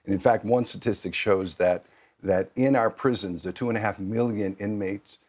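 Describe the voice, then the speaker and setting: A man over fifty giving measured, articulate explanations as an interview guest. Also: on the low side, a little mellow. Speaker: nonprofit program leader, interview guest.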